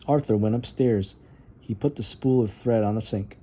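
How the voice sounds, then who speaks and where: A man reads a picture book aloud to children as narrator. His voice is very dark and mellow, with no sharp edge at all. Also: lowish voice, moderate pace, a little hiss or hum. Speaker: storybook reader.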